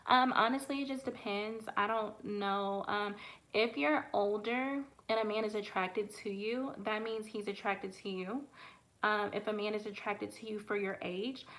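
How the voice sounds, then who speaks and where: A woman's voice: medium pitch, fairly even intonation, unhurried, fairly bright tone, low-quality recording. A woman in her late twenties hosting a casual livestream and answering viewer questions as a dating coach. She talks frankly, with lots of fillers. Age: late 20s. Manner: frank, conversational, many fillers.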